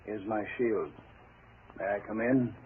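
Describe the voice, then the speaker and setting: An actor playing a somber, courteous police detective sergeant in a 1940s radio drama, businesslike in delivery. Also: male voice, on the low side, fairly even intonation, measured pace, natural voice. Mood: somber, matter-of-fact. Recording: tinny old radio, faint noise.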